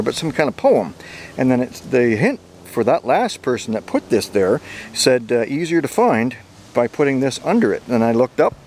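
A man's voice: medium pitch, a little hiss or hum. Chatty middle-aged man vlogging outdoors. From Canada. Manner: chatty, upbeat, laughs often. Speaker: geocaching vlogger. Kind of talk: casual outdoor vlog commentary. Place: outdoors on a bridge and wooded trails in summer. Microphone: handheld camera at arm's length.

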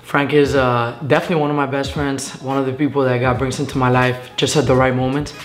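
A man's voice: medium pitch, flat delivery, faint music behind. Earnest young man in an echoey room. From Venezuela. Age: young adult. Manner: earnest, halting with many fillers. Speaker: guest. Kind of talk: casual testimony interview. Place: living room, on a couch. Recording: echoey room with faint hiss.

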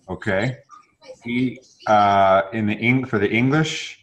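A man's voice: lowish voice, takes it slowly, lo-fi sound. A man in his fifties leading a casual bilingual language session on a video call from a home room, mixing English and Spanish and correcting himself as he goes. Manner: mixes English and Spanish, relaxed, self-correcting. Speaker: language exchange facilitator. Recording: faint noise on a video call.

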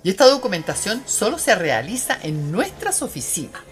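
An actress playing a formal, unyielding saleswoman in a radio drama, speaking with insistent, deliberate emphasis. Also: medium pitch, very animated, faint music behind, lightly acted. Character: unyielding, formal office saleswoman of burial vaults.

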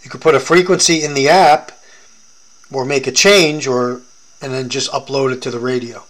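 A man's voice: medium pitch, fairly even intonation, normal speed, fairly bright tone, poor audio. An American man demonstrating a product in a casual hands-on tutorial, explaining informally step by step.

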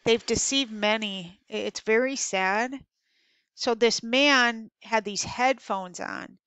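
A woman speaks slowly and earnestly as she interprets a dream for believers, stopping now and then to read verses aloud. Her voice is smooth and clear, recorded close and dry with no room around it. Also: fairly high pitch, fairly bright tone, no room sound. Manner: earnest, reads scripture aloud, hesitant fillers. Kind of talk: devotional dream interpretation over slides.

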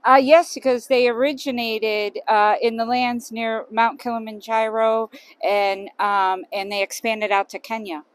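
A woman's voice: a little high, fairly even intonation, a little slow, clean tone, no room sound. A middle-aged woman from Ohio, answering street interview questions outdoors with small hesitations. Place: sunny boardwalk outdoors.

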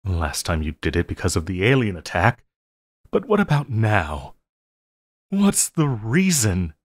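A man playing a possessive supervillain lover in an intimate audio roleplay, coaxing and pleading with a halting delivery and quiet menace. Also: on the low side, huge pitch swings, no echo, clean studio sound, full character voice. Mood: suspicious, wounded.

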